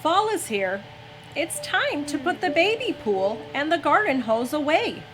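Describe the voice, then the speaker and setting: A woman reading a children's story aloud in an expressive, gentle storytelling manner. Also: sits high, measured pace. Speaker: mother reading aloud on a family story-time show. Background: background music.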